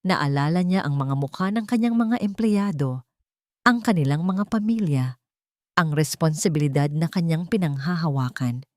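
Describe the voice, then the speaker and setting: A woman narrates a dramatic romance story in a fairly low voice. The recording is dry and close, with hardly any room sound. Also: expressive, normal speed, no room sound.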